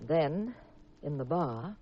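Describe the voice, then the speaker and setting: An American actress playing a sleuth's loyal, anxious wife who also narrates a 1950s radio drama. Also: female voice, deep voice, animated, takes it slowly. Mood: suspenseful narration. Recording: dull old radio recording, music behind.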